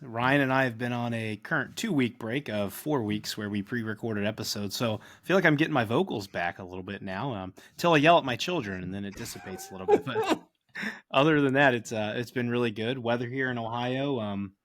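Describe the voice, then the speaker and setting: A man's voice: mid-range voice, sing-song, brisk, fairly bright tone. A man guesting on a sports podcast, chatting in a joking, easygoing way. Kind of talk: casual chat.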